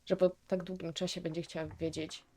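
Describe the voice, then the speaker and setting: A Polish woman hosts a casual reaction video. Her voice sits on the low side and is very soft-edged and dark in tone. She comments in a musing way, reasoning aloud about what she watches. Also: flat delivery, brisk, a little hiss or hum.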